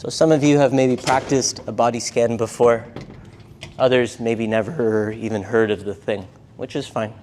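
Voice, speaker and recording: A man teaching a live yoga and meditation class, speaking gently in short, unhurried phrases with pauses between them. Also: mid-range voice, steady tone, slight background noise. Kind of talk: guided meditation class instruction.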